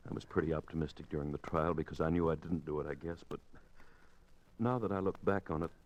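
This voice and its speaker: An actor in a 1950s radio drama playing a middle-aged condemned man, subdued, halting and tender. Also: a bass voice, steady tone, low-quality recording. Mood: resigned, calm. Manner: subdued, halting, gently resigned. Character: resigned, tender condemned husband, a film producer. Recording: old 1950s radio recording.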